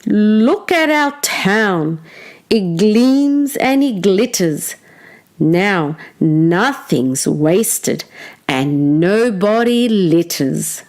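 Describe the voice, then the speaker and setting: A woman reading a children's storybook aloud like a teacher, with expressive, deliberate phrasing for young listeners. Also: mid-range voice.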